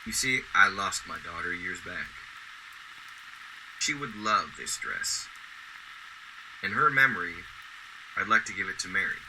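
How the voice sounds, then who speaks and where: An actor playing a kindly middle-aged garbage truck driver in a radio drama, warm, polite and folksy. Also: male voice, sits low, sing-song, unhurried, long pauses, background noise, exaggerated voice. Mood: gentle, earnest. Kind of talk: golden-age radio drama acting.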